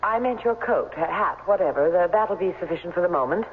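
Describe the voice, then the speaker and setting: A woman's voice: fairly low pitch, animated, brisk, in a large hall, close to an everyday voice. A woman acting in a 1970s radio drama as a tart, businesslike young secretary with a prickly edge. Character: brisk, sardonic young secretary, prickly but anxious. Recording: hollow, dull old radio recording, faint noise.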